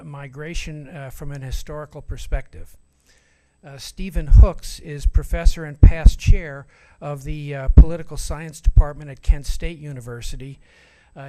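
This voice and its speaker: Man, somewhat higher pitch, very dark mellow tone, halting introductions full of uh's. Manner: hesitant, many filler "uh"s, reading credentials. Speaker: forum moderator. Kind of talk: introducing speakers. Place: church meeting room.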